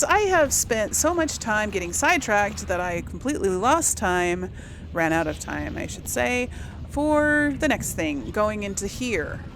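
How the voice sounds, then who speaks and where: Woman rambling casually, lively intonation. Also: mid-range voice, noisy background. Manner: rambling, thinking aloud. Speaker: gaming video host. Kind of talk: casual role-play let's play commentary, chatting to viewers.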